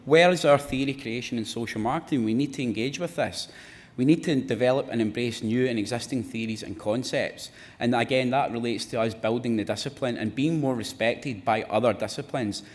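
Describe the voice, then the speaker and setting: A man with a Glaswegian accent delivers an earnest conference talk, circling back with "you know" and the odd restart. His voice sits at an ordinary middle pitch. A long reverb from the hall trails behind every phrase. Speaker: academic speaker at a panel. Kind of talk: conference presentation.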